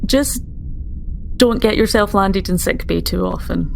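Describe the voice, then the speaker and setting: Woman playing a slow-spoken, composed ship's doctor. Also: mid-range voice, creaky, darkish tone, a little hiss or hum. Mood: reserved. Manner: measured, reserved, dry. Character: composed, dryly amused female starship doctor.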